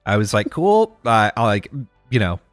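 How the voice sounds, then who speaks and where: Lively, animated male storyteller. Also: lowish voice, moderate pace, clean tone, dry recording, studio quality, soft background music. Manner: animated storyteller, acts out quotes, many fillers. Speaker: podcast co-host. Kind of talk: casual chat, storytelling.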